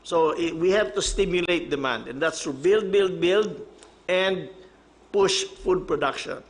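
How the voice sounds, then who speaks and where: A man's voice: high-pitched, unhurried. A man with a Filipino accent explaining as a government official in a meeting.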